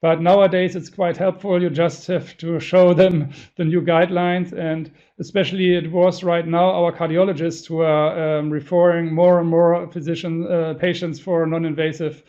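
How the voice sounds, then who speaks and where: A middle-aged man with a non-native English accent, a senior radiologist answering webinar questions in a halting, hesitant way with frequent self-corrections. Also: a little high, flat delivery, mellow. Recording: dull lo-fi webinar audio.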